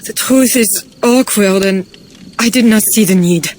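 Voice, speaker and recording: An actress playing a pious, gentle noblewoman in a video game cutscene, speaking softly and earnestly. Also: mid-range voice, unhurried, a little hiss or hum, close to an everyday voice. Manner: soft, earnest and devout. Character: pious, gentle noblewoman estranged from her husband. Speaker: queen.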